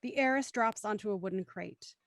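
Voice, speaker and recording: A woman's voice: medium pitch, a little fast, no echo, clean studio sound. A woman reading scripted scene narration for an audio drama.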